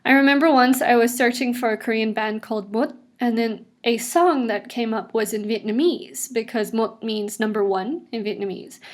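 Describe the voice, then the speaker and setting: A woman in her twenties tells her own story in a casual, upbeat way, with asides as she goes. Her accent is a blend of international English. Her voice sits a little above the usual pitch for a woman. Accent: mixed international English. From South Africa. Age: 20s. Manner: chatty and upbeat, with frequent laughs and asides. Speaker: vlogger. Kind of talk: casual personal narration over drawings.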